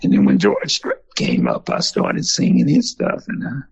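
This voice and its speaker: An older man with a husky, gravelly voice, telling folksy stories in an interview. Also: medium pitch, normal speed, no echo, highs cut off. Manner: folksy, animated storyteller. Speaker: museum founder and former country singer. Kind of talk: storytelling in an interview.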